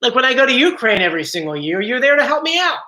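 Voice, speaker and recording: Bright-voiced joking male stream host. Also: very high-pitched, very animated, fast. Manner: chatty, joking, easily sidetracked. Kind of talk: casual live stream chat. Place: home, bedroom area. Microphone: computer mic.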